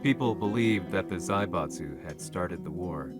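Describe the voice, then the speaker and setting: An actor playing a weary, disillusioned war reporter, narrating a video game story in a sombre, measured way over guitar music. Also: male voice, deep voice, lively intonation. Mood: sombre, brooding. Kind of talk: scripted first-person story narration. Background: plucked guitar music.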